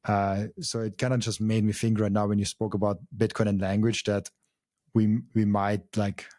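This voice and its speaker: A man's voice: on the low side, level tone, normal speed, mellow, no echo, studio quality. A young man from Austria with a German accent hosting a podcast, thinking aloud in casual talk with many fillers and restarts. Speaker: podcast host. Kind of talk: casual conversation.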